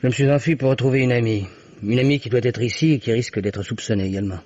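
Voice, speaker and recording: A husky man played by an actor talks fast as an anxious, honourable fugitive in a vintage radio drama. He is urgent and guarded, and the recording is dull. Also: medium pitch, dark tone, close to an everyday voice. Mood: troubled. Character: anxious, honourable man on the run, wrongly suspected. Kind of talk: French radio mystery drama acting.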